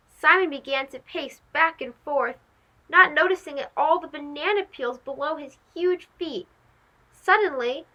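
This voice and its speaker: A woman narrating a children's story as a read-aloud host, expressive, dramatic and clearly phrased. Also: high voice, poor audio.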